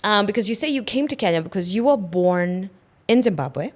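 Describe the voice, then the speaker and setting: A woman's voice: mid-range voice, animated, fairly quick. Affirming woman podcast host. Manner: affirming, encouraging listener. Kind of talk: podcast interview.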